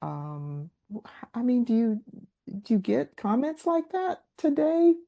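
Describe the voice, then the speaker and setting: Mellow-voiced woman podcast co-host. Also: fairly high pitch, measured pace, creaky. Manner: hesitant, restarts and animated rises when asking. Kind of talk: interview questions.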